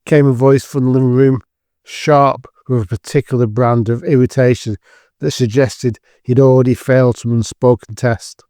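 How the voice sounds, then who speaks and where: Guy narrating an audio drama, scripted, mid pitch voice, very dry recording. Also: steady tone, normal speed, mellow, no room sound.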